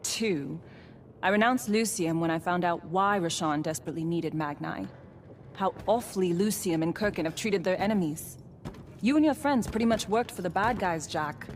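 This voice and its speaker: An actress playing a steady, wry fugitive mage companion in a video game, measured, dry and reassuring. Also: female voice, fairly low pitch, slight background noise, lightly acted. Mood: somber, frank. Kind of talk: cinematic game acting. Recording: faint background ambience.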